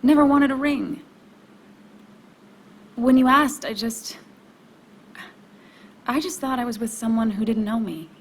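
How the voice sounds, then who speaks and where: Young woman, grieving and guarded, halting confessional game dialogue, some background noise. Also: a little high. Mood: defensive, upset. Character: grieving, guarded young woman, emotionally raw but determined. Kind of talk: naturalistic video game acting.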